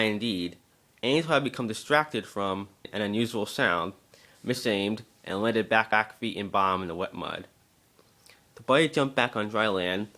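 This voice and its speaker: A man with a somewhat low voice reads a story as narrator. It is an amateur dramatic reading, with occasional stumbles and repeated words. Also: fairly bright tone.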